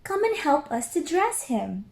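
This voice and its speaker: A playful young storybook kitten, voiced by a woman, coaxing and a little bossy. Her voice is high and swoops about eagerly, with room echo around it. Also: big pitch swings, measured pace, creaky, a little mellow, full character voice. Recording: roomy echo.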